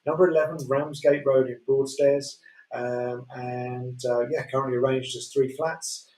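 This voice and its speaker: A man with a Yorkshire accent presenting auction lots in a relaxed way, full of fillers. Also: mid-range voice, a little slow. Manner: relaxed, many fillers, chuckles. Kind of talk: casual chat describing property lots. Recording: echoey room, distant mic.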